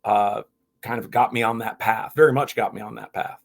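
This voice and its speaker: A man's voice: on the low side, fairly even intonation, brisk, vocal fry. Earnest rambling man from Vermont. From Vermont, USA. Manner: earnest, rambling with many fillers. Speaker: guest, equipment manager. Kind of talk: casual interview.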